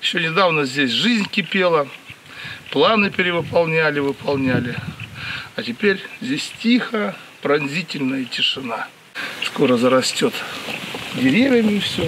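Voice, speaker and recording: A man reflecting on a deserted place and the local people in a slow, thoughtful way. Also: fairly high pitch, animated, far from the mic, noisy background. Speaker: traveler interviewed. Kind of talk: reflective interview talk.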